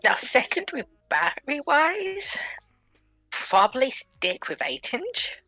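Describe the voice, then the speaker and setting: A woman's voice: sits high, huge pitch swings, slow, sharp tone, dry recording, faint music behind, poor audio. A woman arguing insistently in a casual technical debate as one of a panel. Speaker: panelist in a group discussion.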